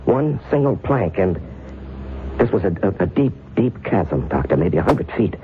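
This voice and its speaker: An actor playing a frightened, agitated novelist in an old-time radio drama, halting, repeating himself and stammering. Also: male voice, sits low, big pitch swings. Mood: fearful recollection. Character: frightened, haunted male novelist, agitated and defensive. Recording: noisy, muffled phone-like old radio recording.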